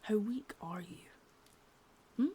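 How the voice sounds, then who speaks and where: A woman voicing a sadistic, menacing female boss in an ASMR roleplay, whispering softly with spoken threats between. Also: medium pitch, slow pace, slight background noise, lightly acted. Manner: taunting and threatening.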